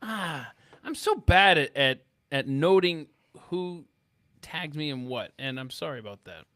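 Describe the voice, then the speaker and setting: A man's voice: on the high side, sing-song, unhurried, pushed and bright. A man hosting a casual live show with playful, teasing banter. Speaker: host of a live stream show.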